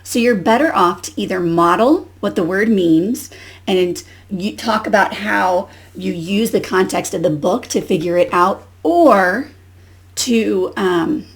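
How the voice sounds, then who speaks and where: A woman's voice: mid-range voice, measured pace. A woman giving an instructional demonstration as a teacher educator, explaining and modelling questions as if to young pupils.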